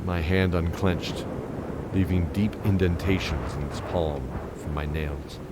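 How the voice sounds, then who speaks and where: Deep-voiced male fantasy narrator. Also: fairly even intonation, a little slow, very noisy. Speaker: narrator and voice actor. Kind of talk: dramatic first-person fantasy narration. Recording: music under the voice. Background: music.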